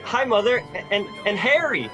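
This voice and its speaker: Young-sounding man as excitable, lively grateful family man. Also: sits high, normal speed. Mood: elated. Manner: excited, earnest, stammering exclamations. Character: George, overjoyed grateful small-town husband and father. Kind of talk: 1940s-style radio play acting. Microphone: video-call microphone. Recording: loud music and crowd behind voice.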